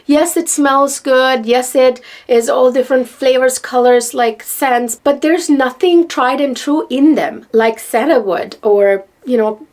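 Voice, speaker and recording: A middle-aged woman from Sri Lanka, talking excitedly to camera in a rambling, repetitive way. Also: high voice, measured pace. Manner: excitable, rambling, repeats words. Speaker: vlogger. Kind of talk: casual chat to camera.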